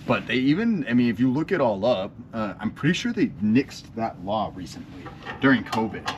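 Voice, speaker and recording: Young man, low voice, relaxed HVAC explainer who rambles, faint noise on camera audio. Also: moderate pace. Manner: casual, rambling, many fillers and restarts. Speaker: HVAC technician and channel host. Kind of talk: casual explainer vlog. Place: backyard beside an AC unit, later a small office.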